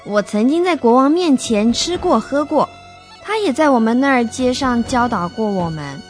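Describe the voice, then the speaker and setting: An ignorant, self-assured pilgrim played by a woman in a radio drama. The voice is fairly high, pleading for entry as if sure of welcome, with faint music behind. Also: animated, normal speed, close to an everyday voice.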